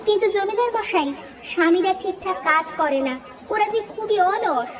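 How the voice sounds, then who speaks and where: A woman's voice: very high voice, animated, normal speed, distant mic, crowd noise, background noise. A woman voicing an earnest, anxious younger village sister in a cartoon, with a questioning manner. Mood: pleading. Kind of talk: cartoon dub acting.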